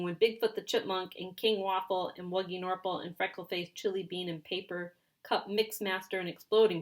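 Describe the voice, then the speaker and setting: A woman reading a children's story aloud in an animated, expressive and emphatic way. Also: fairly low pitch. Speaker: children's story reader, speech and language pathologist.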